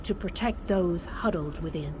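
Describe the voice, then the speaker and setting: An actress playing a solemn female mystical advisor in a fantasy game, speaking in a measured, formal, portentous way. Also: on the low side, very noisy, natural voice. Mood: solemn storytelling. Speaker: quest-giving advisor character. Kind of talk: fantasy game lore narration and dialogue.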